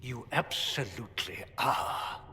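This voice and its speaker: A man's voice: mid-range voice, lively intonation, takes it slowly, bright, forceful tone. An actor playing a haughty, hostile church chancellor in a video game, imperious and indignant in his accusations. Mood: imperious, threatening. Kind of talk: dramatic video game acting. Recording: long hall reverb.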